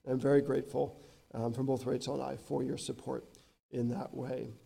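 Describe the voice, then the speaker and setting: Hoarse missionary man, mellow voice. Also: medium pitch, flat delivery, fairly quick. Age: 30s. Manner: earnest, frequent ums. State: hoarse from a cold. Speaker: visiting missionary. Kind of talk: church missionary talk. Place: church sanctuary.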